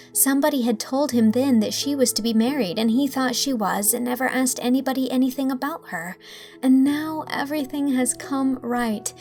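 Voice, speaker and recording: A woman voicing a dreamy, idealistic young woman in an audiobook, breathy and sentimental. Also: fairly high pitch, darkish tone, lightly acted. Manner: breathy, rapturous. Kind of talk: dramatised audiobook acting.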